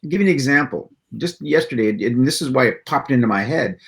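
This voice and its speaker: A man's voice: mid-range voice, animated, quick pace, creaky, a bit bright. A man co-hosting a live stream, delivering a prepared monologue with punchy, dramatic emphasis and rhetorical questions. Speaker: live stream co-host and presenter.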